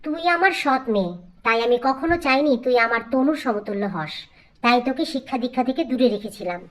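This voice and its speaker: A woman's voice: high voice, lively intonation, a little fast, a bit bright, low-quality recording. A woman voicing a scheming, spiteful middle-aged village stepmother in a dramatic cartoon fairy tale. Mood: menacing. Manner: scolding, menacing, falsely sweet when wheedling.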